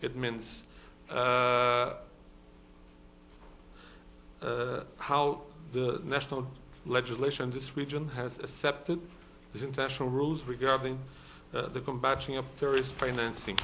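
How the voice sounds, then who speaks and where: A man from Brazil speaks English with a Brazilian Portuguese accent at a conference. His voice sits at a middling pitch, and he talks haltingly, full of "uh"s and restarts. A faint hiss runs under the recording. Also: level tone, sharp tone. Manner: hesitant, many fillers and restarts. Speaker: law professor, conference panelist. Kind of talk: conference talk. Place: conference hall.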